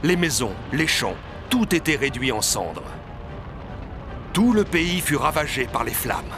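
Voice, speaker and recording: A man narrates a scripted story. His voice sits a bit high. Music is clearly present behind him. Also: very animated, unhurried. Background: music, outdoor ambience effects.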